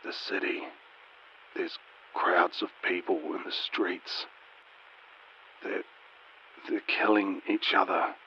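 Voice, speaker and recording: A man acts out a terrified airline pilot in a horror story, trapped and despairing. The voice is low, hushed and shaky, halting over a muffled radio with some noise. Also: fairly even intonation. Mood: horrified, despairing. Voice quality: hushed, shaky, near-whispering. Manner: halting, stammering.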